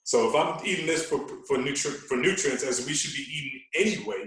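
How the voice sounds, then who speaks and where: A man's voice: mid-range voice, expressive, brisk, fairly bright tone, distant mic. Long-winded male fitness coach, very echoey room. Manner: long-winded, explanatory, emphatic with rhetorical check-ins. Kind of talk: podcast conversation.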